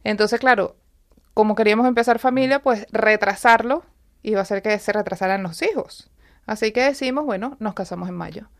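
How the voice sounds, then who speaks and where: A woman's voice: mid-range voice, brisk, no room sound, clean studio sound. Earnest woman telling her story. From Venezuela. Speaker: radio guest. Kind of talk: personal testimony in a radio interview. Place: radio studio.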